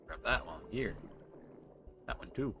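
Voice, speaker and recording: A man's voice: fairly low pitch, lively intonation, unhurried, noisy background. A man giving casual live game commentary to his chat, with a playfully exasperated, exclamatory manner. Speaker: game streamer.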